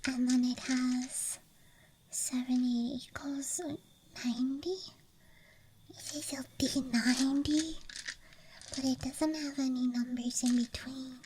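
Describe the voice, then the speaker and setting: Young female ASMR streamer, high soft voice, unhurried whispery rambling, playful, close on the mic. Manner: soft, playful, musing aloud. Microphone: close ASMR microphone. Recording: background noise, ambience with clicks. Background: night campground ambience, clicking of small objects.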